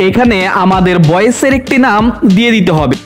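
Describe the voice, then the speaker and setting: A man with a noticeably high voice narrates a step-by-step screen tutorial as its presenter. Music plays softly but audibly behind him as he explains. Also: animated, a little fast. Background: background music.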